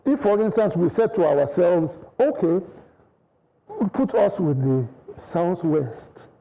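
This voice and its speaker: A man's voice: high-pitched, lively intonation, slow, vocal fry, warm tone, poor audio. A man with a Nigerian accent, a studio guest arguing his point in a TV panel debate, insistent and full of restarts. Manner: insistent, many restarts and hedged clauses.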